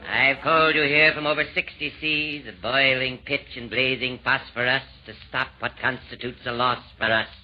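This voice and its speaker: An actor playing a grand, commanding devil in rhymed verse, in pompous 1940s radio style. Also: male voice, medium pitch, steady tone, moderate pace, few pauses, lightly acted. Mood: pompous, grave. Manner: pompous, oratorical. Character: grand, commanding male devil presiding over hell. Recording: muffled, noisy 1940s radio recording.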